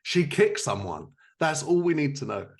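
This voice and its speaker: A man's voice: on the high side, sing-song, normal speed, gravelly. A man with a British accent hosting a podcast in casual, joking conversation. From Britain. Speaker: podcast host, fiction writer. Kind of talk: casual, joking interview chat.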